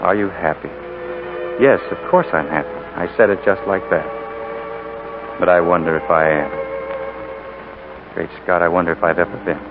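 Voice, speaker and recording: An actor playing a pensive, reserved husband and war veteran in 1940s radio drama, speaking politely and hesitantly. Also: male voice, low voice, normal speed, pauses often, over music. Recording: noisy, tinny 1940s radio recording.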